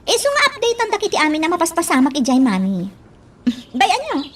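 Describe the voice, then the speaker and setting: A woman's voice: very high voice, huge pitch swings, fairly quick, fairly bright tone, a little hiss or hum, exaggerated voice. A woman playing a chatty young woman in an Ilocano radio romance drama. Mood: confiding.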